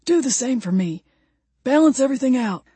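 A woman's voice: high voice, lively intonation, normal speed, warm tone, breathy edge, dry recording, voice pushed up. A woman voicing a brash, vengeful redneck man who demands to become a vampire, in an audiobook story. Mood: insistent, pleading.

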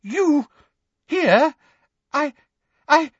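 A man's voice: very high-pitched, animated, drawn-out words, no echo, highs cut off, pitched above the performer's own voice, exaggerated voice. A man voicing a flustered older government minister in an audiobook, stammering, blustering and gibbering with panic.

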